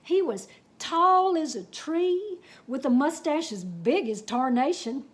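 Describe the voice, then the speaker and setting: A woman reads a children's story aloud. She takes her time, stretching words in a folksy, expressive way and speaking to her listeners directly. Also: high-pitched, slow pace. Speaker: storyteller reading a picture book aloud. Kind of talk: animated children's read-aloud with folksy asides.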